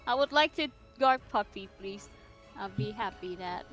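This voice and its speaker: A woman's voice: mid-range voice, animated, normal speed, a bit bright, faint music behind. A woman chatting casually as a player in a tabletop role-playing game.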